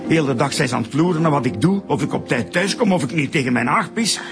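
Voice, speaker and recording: A man's voice: a little high, expressive, fast talker, faint music behind. A man acting as an aggrieved, suspicious middle-aged complainant in a radio drama, grumbling and agitated. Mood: indignant. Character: aggrieved, haunted middle-aged man reporting murderous neighbours.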